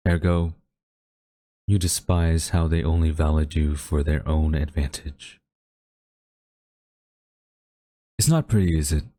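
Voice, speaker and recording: A man's voice: a bass voice, steady tone, mellow. A man acting a haughty government official with a secretly tender side, speaking formally and theatrically in a dramatic audio roleplay. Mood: somber. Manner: formal, theatrical, deliberate wording. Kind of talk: dramatic audio roleplay monologue addressed to listener.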